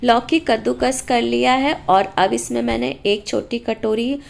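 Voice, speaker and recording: A woman's voice: fairly high pitch, steady tone, measured pace, vocal fry. Woman vlogger narrating with a sore throat. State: sore throat from a cold and cough. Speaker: homemaker vlogger and mother. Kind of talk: casual narration of a daily routine and cooking vlog.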